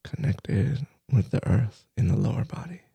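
A man leading a guided meditation, soft-spoken, in short halting phrases with long pauses. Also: lowish voice, expressive, vocal fry, slightly rough, no room sound. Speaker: meditation teacher.

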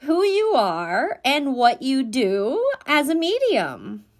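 A woman's voice: high voice, wildly swooping pitch, slow pace. A woman hosting an interview show, introducing and questioning in a conversational way. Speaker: show host.

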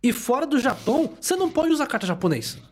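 A man hosting a casual podcast chat in a relaxed, chatty way. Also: very high voice, quick pace, slightly rough, faint music behind. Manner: relaxed, chatty, uses "tipo" and "sabe".

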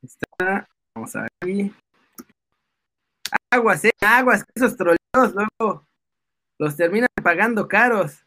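Male stream co-host, Mexican accent. High-pitched, forceful delivery, playful and excitable, casual chat. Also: unhurried, long pauses, a little hiss or hum. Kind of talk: casual live-stream banter.

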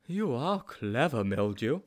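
A man's voice: mid-range voice, sing-song, takes it slowly. A man voicing a timid, muddled, admiring storybook sidekick who asks questions in a naive, plaintive way. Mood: eager, admiring. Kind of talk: storybook character voice.

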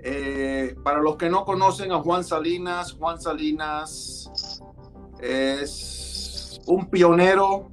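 A male host opens a live show, speaking slowly and deliberately as he introduces his guest. His voice sits a little high, and faint music plays underneath.

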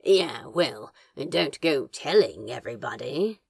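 Woman acting as bashful grumbling man, audio fiction, husky, low-pitched, halting drawl, swooping intonation. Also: lively intonation, exaggerated voice. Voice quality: husky, growly. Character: male Crowley, bashful grumbling flirt with gruff edge.

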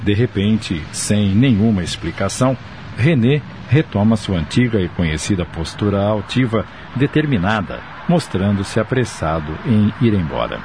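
A man's voice: lowish voice, lively intonation, normal speed, darkish tone, slight background noise. A man with a Brazilian accent narrating a radio drama and presenting it in a scripted style. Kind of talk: scripted narration and credits reading.

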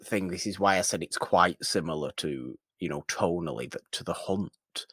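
A man's voice: sits low, moderate pace, a bit bright, husky, no room sound, clean studio sound. A man co-hosting a podcast, casually discussing film in an emphatic way, repeating phrases and throwing out rhetorical questions. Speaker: podcast co-host.

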